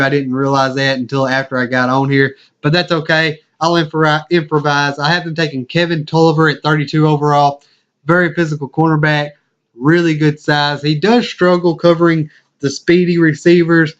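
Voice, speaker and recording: Hoarse raspy man, slightly high. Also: dull audio. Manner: loose, unscripted, self-correcting. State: hoarse, losing his voice. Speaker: sports video host. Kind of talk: casual NFL draft commentary.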